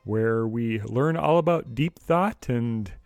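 An American man with a medium-pitched voice co-hosts a podcast discussion. He speaks casually yet in a measured, informative way. The recording is very dry, with no room sound. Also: vocal fry, a little mellow, no echo, soft background music. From United States. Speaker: podcast co-host. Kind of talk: casual discussion of a radio drama.

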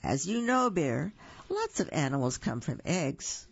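A storybook reader voicing a sly, fast-talking fox salesman with an excited, salesy patter. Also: mid-range voice, creaky, slightly rough, highs cut off, full character voice.

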